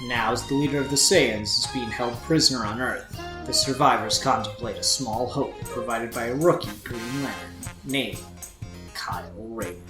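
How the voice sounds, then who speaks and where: A woman announces a dramatic scripted recap. Her voice is exceptionally low and echoey, set against prominent music. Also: normal speed.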